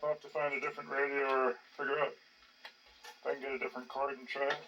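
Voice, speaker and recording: An older man giving casual, rambling commentary as he tinkers, halting and muttering to himself. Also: medium pitch, flat delivery, sharp tone, dull audio. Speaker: hobbyist narrating his own projects. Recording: distant mic with faint background noise.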